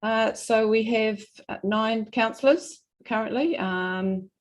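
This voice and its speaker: A woman with a New Zealand accent giving a semi-formal presentation to a hearing, speaking haltingly and often correcting herself. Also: fairly high pitch. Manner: halting, hesitant delivery with frequent self-corrections. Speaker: regional council representative presenting.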